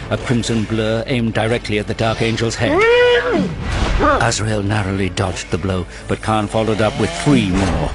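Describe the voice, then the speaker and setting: A man giving dramatic scripted narration for an audio drama. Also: fairly low pitch.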